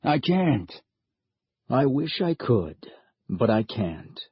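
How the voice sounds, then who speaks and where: A man voicing a mysterious old stranger who speaks in a grave, measured, gentle way. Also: medium pitch, big pitch swings, slightly rough, no echo, heavily acted. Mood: sorrowful. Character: mysterious ancient male stranger, calm, sorrowful. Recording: dull lo-fi audio.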